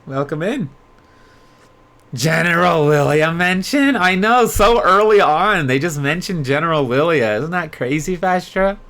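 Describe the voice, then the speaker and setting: Animated, bright-voiced male streamer. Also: a little high, a little slow. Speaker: streamer host reading aloud. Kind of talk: expressive book readalong with casual chat.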